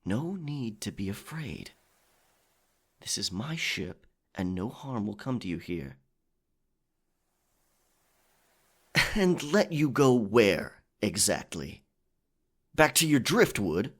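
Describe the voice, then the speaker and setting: A man's voice: fairly low pitch, very animated, slow, husky, full character voice. A man playing a roguish pirate captain, courteous but firm, with gallant old-fashioned phrasing in an intimate ASMR roleplay. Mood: reassuring, firm. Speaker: audio roleplay performer. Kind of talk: ASMR audio roleplay, intimate dramatic acting.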